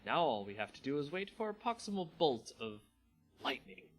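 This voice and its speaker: A man's voice: a little high, very animated, moderate pace, faint music behind. A man voicing a fussy, formal mage scientist in a storybook reading, technical and stiffly polite. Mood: tense, businesslike. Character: fussy, formal male ghost-mage scientist, easily flustered.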